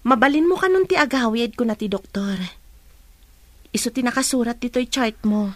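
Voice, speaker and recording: A female actor plays a friendly young nurse with a teasing streak. Her voice is high and recorded very dry. She speaks radio drama dialogue with an Ilocano Filipino accent. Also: normal speed, no room sound, close to an everyday voice.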